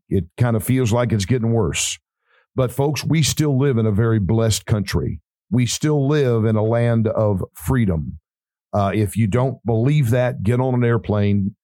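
A man who pastors and hosts a podcast, talking earnestly in a folksy preaching style, his voice raspy and hoarse. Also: mid-range voice, a little mellow, no echo. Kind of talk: casual preaching podcast talk.

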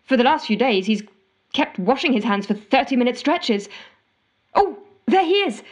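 A woman's voice: fairly high pitch, animated, moderate pace, a little mellow. A woman playing a frantic, exasperated woman telling her desperate story over the phone in an audio drama. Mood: pleading. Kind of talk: audio fiction monologue, told as a phone call.

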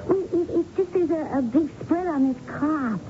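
An actress playing a timid, chatty waitress in a radio drama, deferential and a little flustered. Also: female voice, sits high, moderate pace, noisy background, close to an everyday voice. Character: timid, chatty, eager-to-please young waitress. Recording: tinny radio broadcast with music.

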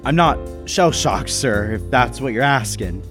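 A man's voice: mid-range voice, expressive, unhurried, close to an everyday voice. Actor playing a reserved 1930s businessman narrator, music behind. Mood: sober reminiscence. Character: reserved American businessman and war veteran, narrating a mystery. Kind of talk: 1930s radio drama acting with first-person narration. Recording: music bed under the voice.

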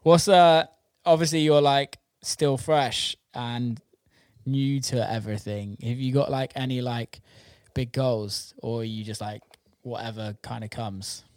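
A man's voice: medium pitch, fairly even intonation, measured pace, darkish tone, dry recording, clean studio sound. A man hosting a podcast, talking in a casual, rambling, informal way about his line of work. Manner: informal, rambling, occasional swearing. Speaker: podcast host and music producer who runs a record label. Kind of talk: casual podcast chat about the music scene.

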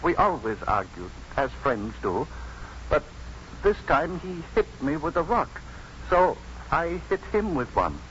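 An actor playing a slow, mild, earnest middle-aged ex-convict in a 1950s radio drama, plain-spoken, halting and deadpan. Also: male voice, fairly high pitch. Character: slow, simple, mild-mannered male ex-convict, earnest storyteller. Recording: muffled, noisy 1950s radio broadcast.

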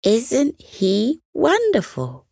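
A woman's voice: on the high side, huge pitch swings, drawn-out words, vocal fry, warm tone, no room sound, clean studio sound, voice pushed up, heavily acted. Woman putting on an earnest, eager little boy.

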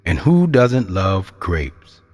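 A man giving measured, rhetorical narration for a scripted audio drama, as a reflective storyteller. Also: low-pitched, big pitch swings, a little mellow, full character voice.

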